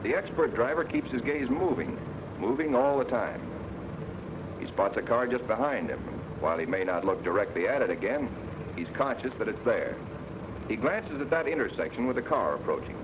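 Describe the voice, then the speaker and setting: A man's voice: mid-range voice, big pitch swings, moderate pace. An American man gives plain-spoken, explanatory 1940s instructional film narration as a flight instructor, addressing the learner directly. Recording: noisy 1940s film soundtrack, aircraft drone.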